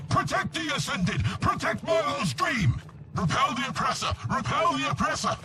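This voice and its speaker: An actor playing a fervent cult zealot in a video game, chanting fanatical battle cries. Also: male voice, very high-pitched, sing-song, moderate pace, over music, poor audio. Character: fervent cult zealot defending his leader's dream.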